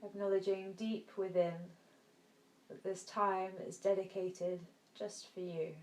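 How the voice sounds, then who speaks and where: A woman teaching yoga nidra, guiding a relaxation meditation in a soft, soothing, gentle manner with long pauses. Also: mid-range voice, level tone. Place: indoor room. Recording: roomy echo, faint background noise.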